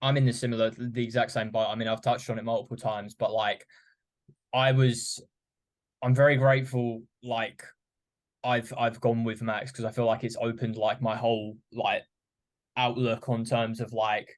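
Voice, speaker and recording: A man's voice: mid-range voice, normal speed. A man hosting a podcast, chatting casually with frequent "like". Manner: even and flat, frequent "like". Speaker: podcast host. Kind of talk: casual chat.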